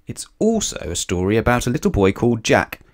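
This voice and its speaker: A man reading a children's storybook aloud with expressive narration. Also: mid-range voice, a little fast.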